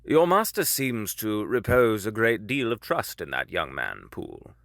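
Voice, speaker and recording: An actor playing a grave, troubled middle-aged gentleman lawyer in an audio drama, speaking formally and haltingly as he broods. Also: lowish voice, sing-song, vocal fry, no echo, studio quality, heavily acted. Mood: inquiring, reserved. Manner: brooding, halting, formal old-fashioned speech.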